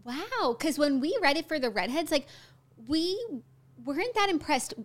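Woman, podcast co-host, chatty and teasing, slightly high voice. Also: normal speed. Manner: chatty, teasing, lively reactions. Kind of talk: casual chat.

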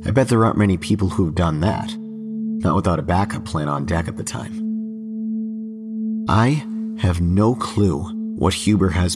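An actor playing a broke, cynical young man who confides his troubles in a wry, conversational horror audio drama narration. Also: sits low. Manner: wry, conversational, coarse-mouthed. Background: droning music.

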